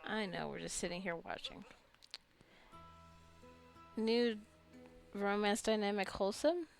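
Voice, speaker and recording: A woman's voice: medium pitch, unhurried, creaky, faint music behind. A woman giving casual let's play commentary, chatty and rambling as she muses aloud. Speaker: gamer narrating own gameplay.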